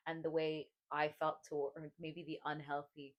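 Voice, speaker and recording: A young woman with a low voice and a neutral, American-sounding international-school accent. She co-hosts a casual podcast and talks in long, rambling runs full of fillers and restarts. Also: little pitch movement, moderate pace, dull audio. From Middle East. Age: 20s. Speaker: podcast co-host. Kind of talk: casual chat.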